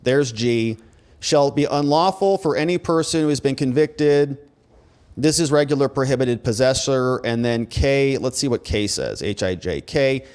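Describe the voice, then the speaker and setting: A man's voice: medium pitch, normal speed, a little mellow, clear, resonant tone. A man with an American accent hosting a casual live commentary stream, talking conversationally and informally as he reads and answers messages. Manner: informal, conversational, rhetorical questions. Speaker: host, legal commentator. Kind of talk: casual livestream commentary, reading viewer messages.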